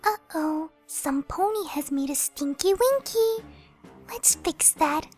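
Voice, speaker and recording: Shy sweet pony mom, super high soft voice, lilting, cartoon drama. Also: female voice, animated, takes it slowly, full character voice. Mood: gentle, soothing. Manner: soft-spoken, timid. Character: gentle young mother pony, sweet and soothing. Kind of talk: fan-made animated radio play acting. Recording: loud music behind the voice.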